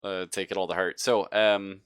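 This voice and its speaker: A man's voice: low-pitched, flat delivery, normal speed, fairly bright tone, clear, resonant tone. A man speaking as a game master, bantering casually with his players in a jokey, profane way. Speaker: game master of a tabletop RPG stream.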